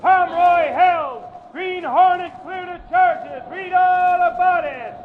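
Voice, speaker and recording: Casting: very high-pitched newsboy, drawn-out street cries, tinny vintage radio drama sound. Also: male voice, a faint crowd. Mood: urgent hawking. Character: loud street newsboy hawking extra editions. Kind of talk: 1940s radio drama acting. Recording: tinny old radio, noisy street behind.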